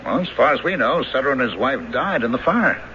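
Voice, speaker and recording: An actor playing a helpful, matter-of-fact police lieutenant with a folksy manner in a 1970s radio drama. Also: male voice, medium pitch, lively intonation, fairly quick, sharp tone. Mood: puzzled. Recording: muffled, hissy 1970s radio recording.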